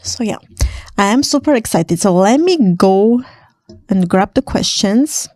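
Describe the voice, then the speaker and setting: A woman's voice: mid-range voice, lively intonation, a little slow, a little mellow, dry recording, clean studio sound. Chatty laughing woman from Armenia. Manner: chatty, laughs often, many fillers. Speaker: vlogger. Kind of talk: casual Q&A to camera.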